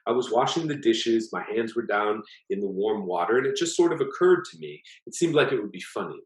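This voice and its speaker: A man plays a prank-loving husband from a spooky short story, earnest and insistent with teasing underneath. The voice is deep and expressive, with noticeable room echo. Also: brisk. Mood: amused.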